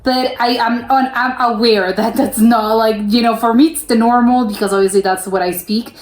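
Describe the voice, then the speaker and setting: A woman's voice: on the high side, steady tone, moderate pace. A young woman from the United States hosting a reaction video, rambling casually with lots of "like" fillers and restarts. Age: young adult. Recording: roomy echo.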